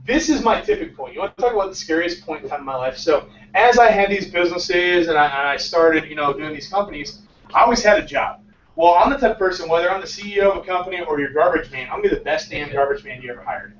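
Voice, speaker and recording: A man in his late twenties tells his startup story to a packed room and acts out each exchange with a lot of bounce. His voice sits high for a man and is caught from a distance, roomy and a little dull, with faint room hum behind him. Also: fast. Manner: animated, acts out dialogue, laughs often. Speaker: startup founder giving a talk. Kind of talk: casual storytelling talk to a small audience. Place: small crowded meeting room, indoors. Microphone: far camera, no visible mic.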